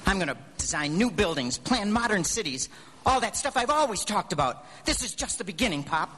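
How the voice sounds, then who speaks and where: A restless, ambitious young man on live radio drama. The voice is fairly high and very lively, with an eager stammer. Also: brisk, a little hiss or hum, exaggerated voice.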